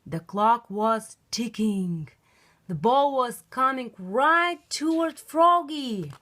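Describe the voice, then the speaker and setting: A woman reading a children's picture book aloud in an expressive, emphatic storytelling style. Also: fairly high pitch, slow pace.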